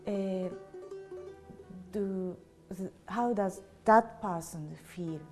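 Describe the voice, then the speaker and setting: A woman from Japan, a native Japanese speaker and language teacher, answering interview questions in halting, simple phrasing with a Japanese accent over guitar music. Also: mid-range voice.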